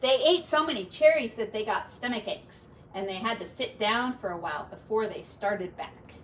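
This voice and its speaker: A woman teacher reading a picture book to young children expressively, with gentle, simple asides, recorded on a device set back from her. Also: fairly high pitch, normal speed. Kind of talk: picture-book read-aloud with chatty asides. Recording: distant mic with faint background noise.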